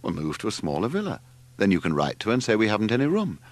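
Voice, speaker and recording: A man's voice: fairly low pitch, wildly swooping pitch, fast talker, dry recording. A man voicing an imperious young English elder brother in an audiobook, emphatic and exasperated. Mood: decisive.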